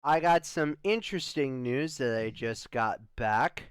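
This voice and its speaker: A man's voice: medium pitch, unhurried, clear, resonant tone, no room sound, studio quality, lightly acted. A man playing a wry spaceship adventurer in loose, improvised sci-fi roleplay, joking and stretching out his lead-ins. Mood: wry, explaining.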